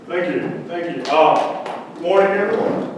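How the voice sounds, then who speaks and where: A man from central Mexico whose first language is Spanish, giving a lively startup pitch and quizzing the audience. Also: measured pace, distant mic, background noise. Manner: conversational, quizzes the audience with questions. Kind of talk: energetic startup pitch talk. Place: event room with audience. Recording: echoey room, speaker away from mic.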